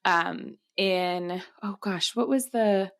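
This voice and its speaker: Female podcast co-host, mid-pitched, casual, thinking aloud with halting phrasing. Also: fairly bright tone, clear, resonant tone. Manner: halting, searching for words. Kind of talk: casual book-adaptation discussion.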